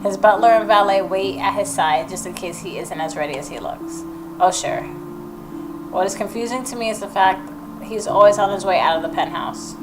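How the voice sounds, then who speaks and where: A woman's voice: mid-range voice, moderate pace, low-quality recording. A woman gaming host who reads a visual novel aloud and adds opinionated, reactive commentary. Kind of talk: game commentary, reading narration aloud. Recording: game music behind the voice.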